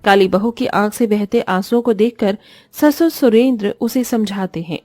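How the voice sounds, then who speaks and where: A woman's voice: medium pitch, normal speed, warm tone, dry recording. A woman narrating a cartoon story in a scripted, dramatic storytelling style.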